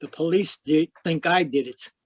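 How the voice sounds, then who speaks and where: A hardboiled private eye from an old radio mystery, acted by a man, pitched a little high for the type. He talks in terse, cynical slang and wisecracks like a man who trusts nobody. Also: moderate pace, slightly rough. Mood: tough, pressing. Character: tough, wisecracking hardboiled private investigator narrating his case. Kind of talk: 1940s radio noir acting.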